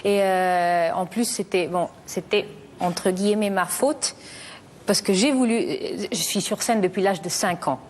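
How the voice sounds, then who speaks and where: A woman's voice: medium pitch, unhurried, a little mellow, slight background noise. A woman in her thirties from Italy, a talk show guest who speaks earnestly and hesitantly, often restarting her sentences. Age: 30s.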